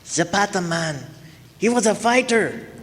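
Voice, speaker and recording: A man's voice: sits high, a little slow, fairly bright tone. A male actor playing a sleazy, grungy chicken-raising trainer with a put-on Latin accent, rambling and trailing off, in a live stage radio play. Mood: mournful. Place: historic club hall, live audience performance. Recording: long echoing hall reverb.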